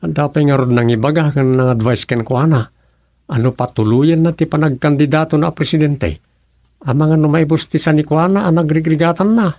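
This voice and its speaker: A man's voice: a little high, a little fast, dry recording, dull audio, lightly acted. A man acting a grumbling, ambitious townsman in a radio comedy drama. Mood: brooding. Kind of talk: radio serial comedy drama dialogue in Ilocano.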